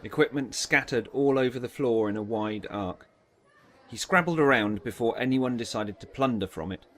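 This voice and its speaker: A man's voice: medium pitch, moderate pace, a little hiss or hum. A man narrating a dramatised audiobook in a scripted, storytelling style.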